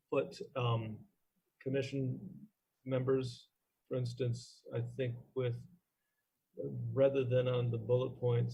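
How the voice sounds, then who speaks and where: A man chairing a formal meeting in procedural language, phrasing things in a halting way. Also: medium pitch, mellow. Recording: dull lo-fi online meeting audio.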